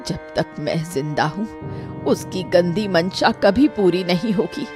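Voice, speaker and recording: A woman acts out an ailing middle-aged mother in a cartoon story, worried and protective. She speaks slowly in a lowered voice, firm and full of concern. Music runs underneath. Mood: worried, resolute. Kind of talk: dramatized Hindi cartoon story.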